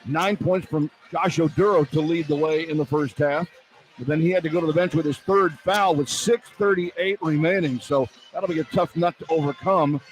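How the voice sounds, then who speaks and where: Higher-voiced male radio sports announcer. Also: moderate pace, soft background music, low-quality recording. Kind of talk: live sports radio halftime commentary. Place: inside a basketball arena, broadcasting live.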